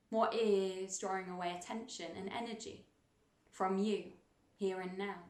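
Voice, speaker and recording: Woman guiding meditation in a roomy space. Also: medium pitch. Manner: gentle, soothing, unhurried instruction with long pauses. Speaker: meditation guide. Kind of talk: guided meditation. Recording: roomy, echoing sound.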